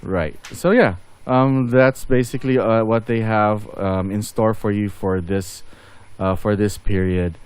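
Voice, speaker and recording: A man's voice: lowish voice, fairly even intonation, unhurried, a little mellow, clear, resonant tone. A man giving casual game update commentary as a channel host, rambling and thinking aloud as he goes.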